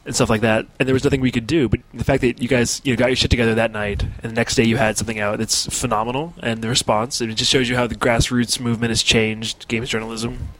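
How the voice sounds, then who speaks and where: A man's voice: medium pitch, flat delivery, fast, few pauses, fairly bright tone, low-quality recording. Wry male podcast guest. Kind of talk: casual podcast chat.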